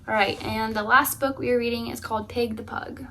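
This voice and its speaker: A young woman with a high voice reads picture books aloud for children. She is recorded indoors in a bedroom, a short distance from the camera, with faint noise in the background. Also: fairly even intonation, fairly quick, fairly bright tone. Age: 20s. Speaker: story reader.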